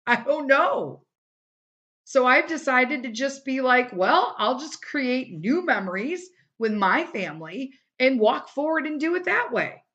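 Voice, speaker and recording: A woman's voice: high voice, lively intonation, measured pace, fairly bright tone, dull audio. Candid, rambling woman podcast host. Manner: rambling and candid, lots of "like" and "you know". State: voice wearing out from a day of recording. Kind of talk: casual solo podcast talk.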